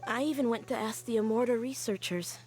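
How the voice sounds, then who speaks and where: A woman's voice: on the high side, brisk, faint music behind, natural voice. A woman voicing a wistful young scholar. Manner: quiet, earnest storytelling, sighs often. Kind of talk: game cutscene voice acting.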